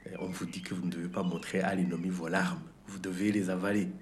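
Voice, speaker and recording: Middle-aged man, Rwandan accent, low voice, reflective personal testimony, deliberate phrasing over audible background noise. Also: little pitch movement. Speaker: interviewee, survivor giving testimony.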